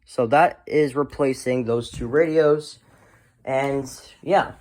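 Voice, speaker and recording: A man's voice: medium pitch, takes it slowly, darkish tone, a little hiss or hum. A man chatting casually as a hobbyist presenter, rambling and often trailing off at the end of his thoughts. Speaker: hobbyist collector presenting a video. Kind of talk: casual show-and-tell chat.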